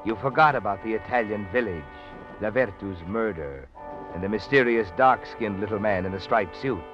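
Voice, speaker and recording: An American actor playing a sardonic all-knowing storyteller, narrating a 1940s radio thriller in a pointed, suspenseful second person. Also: male voice, fairly low pitch, normal speed, natural voice. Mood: knowing, ominous. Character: omniscient, sardonic male storyteller needling the protagonist. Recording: dull vintage radio with music bed. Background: dramatic music.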